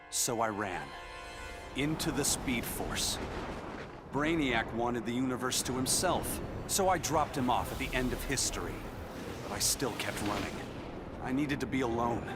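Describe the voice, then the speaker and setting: A man's voice: medium pitch, natural voice. A man playing a speedster superhero, narrating his own story in a game ending, reflective and earnest. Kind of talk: scripted first-person game narration.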